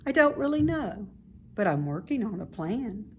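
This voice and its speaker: A woman voicing a calm, motherly female spider in a storybook, measured, comforting and gently firm. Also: mid-range voice, slight background noise, pitched above the performer's own voice, lightly acted. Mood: cool, light.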